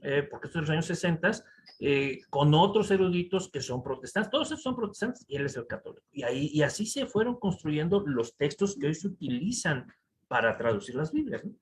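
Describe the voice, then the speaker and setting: A man with a Mexican accent giving a conference lecture over slides, animated and full of rhetorical asides to the audience. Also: a little high, a little fast, a little hiss or hum. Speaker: conference speaker. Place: conference hall.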